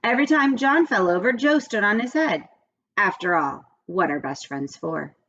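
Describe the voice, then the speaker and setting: A woman reads a children's story aloud with very animated intonation. Her voice sits slightly low and is a bit husky, with a friendly, direct manner. The recording is slightly dull. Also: normal speed. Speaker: read-aloud teacher. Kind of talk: expressive children's story reading.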